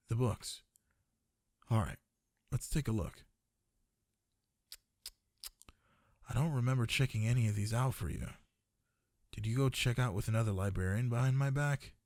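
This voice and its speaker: Low-voiced flirty dragon librarian, acted by a man. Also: a little mellow, dry recording, clean studio sound. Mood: teasing, curious.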